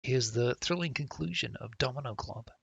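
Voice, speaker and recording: A man's voice: medium pitch, normal speed, vocal fry, dull audio. A man giving casual, sweary and sardonic commentary as he plays a game and reads its text aloud. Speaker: game streamer.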